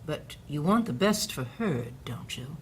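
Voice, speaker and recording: An actress playing a stern, sanctimonious matron of an indoctrination centre in an audio drama, condescending and preachy. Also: female voice, low voice, very animated, a little slow, slight background noise. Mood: cold, condescending. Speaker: actor.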